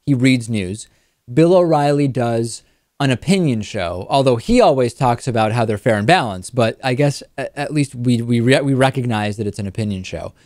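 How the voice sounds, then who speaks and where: A man's voice: mid-range voice, animated, normal speed, a little mellow, no room sound, clean studio sound. A man hosting a talk show, giving conversational political commentary.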